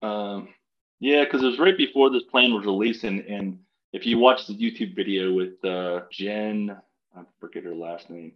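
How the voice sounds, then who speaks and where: A man's voice: fairly low pitch, normal speed, muffled recording. A man appearing as a guest in a casual podcast chat, hesitant and trailing off when unsure. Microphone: remote call.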